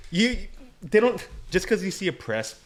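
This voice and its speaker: A man's voice: high voice, very animated, normal speed. A man co-hosting a podcast, explaining things in a casual, slangy, rambling way. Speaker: podcast co-host. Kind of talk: casual chat.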